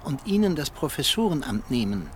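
An actor playing a haughty, threatening nationalist official in a radio drama, speaking coldly. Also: male voice, mid-range voice, big pitch swings, brisk, faint music behind, natural voice. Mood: cold menace. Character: menacing, authoritarian male nationalist official.

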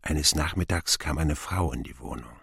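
An actor playing a grown man who recalls his wounded boyhood in a radio play, reflective and dryly ironic, with a gravelly, husky voice. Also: extremely low pitch, normal speed, heavy background noise, full character voice. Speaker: narrator.

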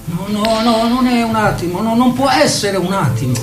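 An actor, urging companions onward. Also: male voice, sits high, moderate pace, close to an everyday voice. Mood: musing. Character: eager male guide urging the travellers onward. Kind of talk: radio theatre acting. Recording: echoey distant mic, music over it.

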